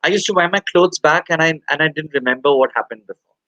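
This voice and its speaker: A man from India in his forties, speaking candidly as an interview guest, halting and soft-spoken with many pauses and fillers. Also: fairly high pitch, no room sound, dull audio.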